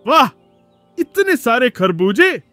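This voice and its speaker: A silly, cheerful cartoon donkey. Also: male voice, very high-pitched, slow pace, no room sound, faint music behind, exaggerated voice. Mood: eager. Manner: boastful, excitable, sings loudly off-key. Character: cheerful foolish male donkey who loves singing. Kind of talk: cartoon voice acting.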